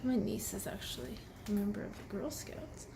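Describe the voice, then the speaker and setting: A woman reading a picture book aloud to children, pausing to explain letter sounds and ask the young listeners questions. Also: mid-range voice, steady tone, gravelly, dark tone, slight background noise. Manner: addresses young children directly with questions and commentary. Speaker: children's read-aloud host and teacher. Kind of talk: picture book read aloud with phonics asides.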